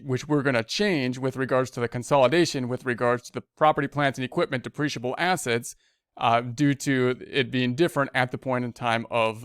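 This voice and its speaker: Clear-voiced man giving a tutorial. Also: medium pitch, dry recording, studio quality. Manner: conversational, repeats and rephrases as he explains. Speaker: accounting instructor. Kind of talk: screencast tutorial, thinking aloud through a worksheet.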